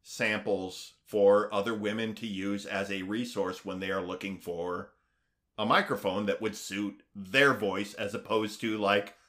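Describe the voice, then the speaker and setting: A man co-hosting a podcast in casual chat, halting with fillers and restarts, then growing eager. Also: fairly low pitch, steady tone, a bit bright. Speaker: podcast co-host.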